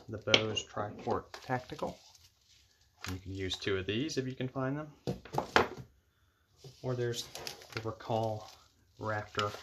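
Guy with a lowish voice doing a matter-of-fact how-to, noisy tabletop recording w/ clicks. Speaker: instructor demonstrating equipment setup. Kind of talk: step-by-step instructional narration. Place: indoors at a tabletop. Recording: clear background noise with clicks.

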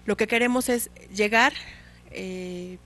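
A woman in her forties speaks as a guest on a small television set, holding a handheld mic. Her voice sits in a middle pitch, and she explains things in a steady, matter-of-fact way. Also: slow pace. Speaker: guest, contest organizer. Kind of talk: studio interview. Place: small TV studio set, indoors.

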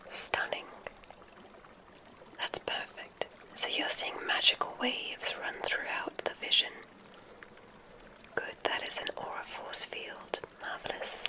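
An ASMR performer playing a kindly witch examiner in a whispered roleplay, speaking in a soothing, gentle, deliberate way with soft approval.

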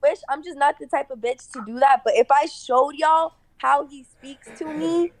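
A woman's voice: high-pitched, fairly even intonation, normal speed, dry recording. A young woman from Boston with a Dominican family, chatting sassily on a phone video call from her bed. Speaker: e-date guest on stream. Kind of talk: casual video call chat. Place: bedroom, lying on bed. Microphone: phone front camera, video call.

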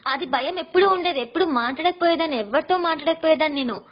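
A woman's voice: high-pitched, quick pace. A young woman seeking advice, explaining her situation in an earnest, pleading way, recorded with dull sound. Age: young adult. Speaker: caller seeking relationship advice. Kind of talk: personal explanation in conversation.

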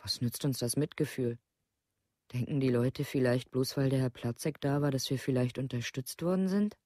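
A woman's voice: very deep voice, moderate pace, mellow, no room sound, clean studio sound. An actress playing an embittered, plain-spoken village mother in a documentary radio play, blunt and repetitive. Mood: bitter, aggrieved.

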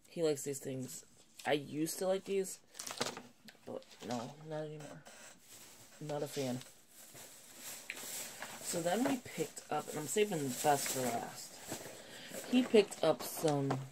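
A woman's voice: sits low, noisy background. A woman vlogger with a slightly nasal voice from a cold, chatting casually and rambling as she shows items at a home table. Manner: rambling, self-correcting, chatty. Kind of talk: casual haul video chat.

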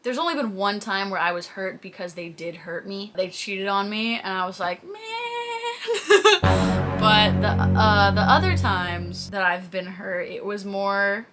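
Female, 20s. Bright mid-pitched voice, casual storytelling vlog delivery, acts out quotes. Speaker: fitness content creator. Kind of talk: casual chat, answering viewer questions to camera. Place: small plain indoor room, seated.

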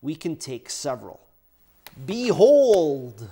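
A man's voice: a little high, very animated, slow, mellow, slightly rough. A man hosting a workshop how-to, casually explaining engine measurements while handling parts at his bench. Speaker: workshop host. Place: indoor workshop bench, overhead camera.

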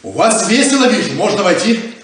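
An actor playing a proud, stubborn, independent small-town deputy sheriff in a 1970s radio play. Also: male voice, sits high, very animated, brisk, fairly bright tone. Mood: polite, awkward. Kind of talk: 1970s Soviet radio theatre acting.

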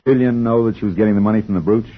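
An actor playing a wry, hard-boiled private detective who narrates, in a 1950s radio drama with a dull vintage sound. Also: male voice, lowish voice, steady tone, very fast, slightly rough. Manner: dry, laconic, wisecracking.

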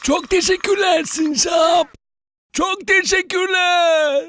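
An actor voicing a big, hungry, good-natured bear in a cartoon dub, with drawn-out, sighing delivery. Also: extremely high pitch, steady tone, bright, forceful tone, shouting, slight background noise, full character voice. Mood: grateful. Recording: music behind the voice. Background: background music.

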